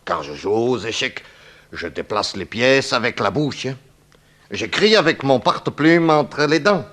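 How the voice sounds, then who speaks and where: A chatty, genial Belgian in a 1960s French radio play. His Belgian French accent is folksy, with tags ending many phrases. The melody rises and falls freely over a mid-pitched voice. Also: lively intonation, a little slow, a bit bright. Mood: cheerfully resigned. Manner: folksy, punctuates phrases with tag words. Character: genial, chatty Belgian armless former ink maker.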